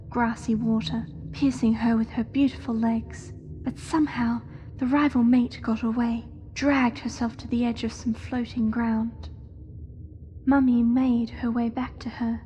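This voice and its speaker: A woman plays an eerily innocent young spider creature from a horror audio drama. The voice is high and soft-edged, with very little rise and fall. It speaks in a hushed, measured, devoted way over noisy ambience. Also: natural voice.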